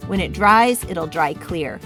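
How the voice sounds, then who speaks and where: A woman hosting a children's read-aloud, speaking with expressive, deliberate, dramatic storybook phrasing. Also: fairly low pitch, music in the background.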